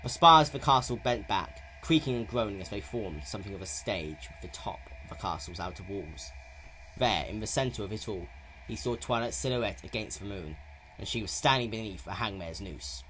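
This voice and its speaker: A man with a fairly low voice narrates a story dramatically. His pitch moves around widely, and there is faint noise in the amateur recording. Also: lively intonation, moderate pace, pushed and bright, low-quality recording.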